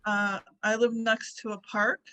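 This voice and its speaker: Soft-voiced woman speaking slowly. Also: mid-range voice, level tone. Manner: soft-voiced, slow and brief answers. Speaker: therapy client.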